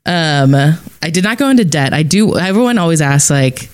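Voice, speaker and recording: A woman co-hosting a podcast, asking friendly, somewhat halting questions with enthusiasm. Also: deep voice, animated, darkish tone. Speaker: podcast co-host and interviewer. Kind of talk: casual interview.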